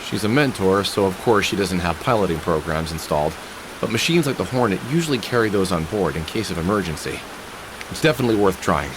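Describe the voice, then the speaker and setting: Game lead: middle-aged loner, weary, wry, heartsick, lively intonation, noisy rainy backdrop. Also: male voice, sits low, fast. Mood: musing. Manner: wry, musing aloud to himself. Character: weary, wry middle-aged man haunted by lost love. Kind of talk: video game voice acting, inner monologue and dialogue.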